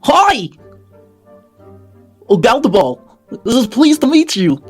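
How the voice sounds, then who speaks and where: Casting: tiny tabletop-game gnome, high-pitched, eager and sweet, halting delivery, music underneath. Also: male voice, full character voice. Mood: excited greeting. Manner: excitable, flattering, halting.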